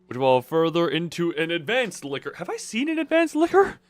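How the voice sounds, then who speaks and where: A man's voice: a little high, wildly swooping pitch, fairly quick, no room sound. A man giving casual live video game commentary, thinking aloud in a rambling, self-interrupting way with playful, self-deprecating wordplay.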